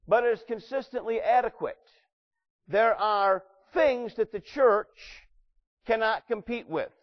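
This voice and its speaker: A man preaching a sermon as pastor of his church, with a loud voice that swings between booming and quiet. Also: dull audio. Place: church sanctuary.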